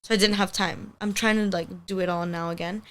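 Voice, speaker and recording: A woman's voice: fairly low pitch, steady tone, a little fast, fairly bright tone. Chatty young woman, Spanish first language. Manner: rambling, heavy use of "like", upbeat. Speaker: podcast guest, hair stylist. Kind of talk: casual chat.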